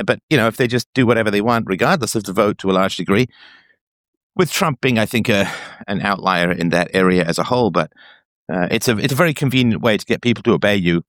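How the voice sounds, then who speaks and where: A man with a lowish voice holds forth as host of a call-in talk show, emphatic and opinionated. His pitch leaps up whenever he gets animated. The sound is dry and close to the mic. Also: no echo. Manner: emphatic, opinionated, pitch swings up when excited. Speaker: podcast host. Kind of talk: casual philosophical call-in chat.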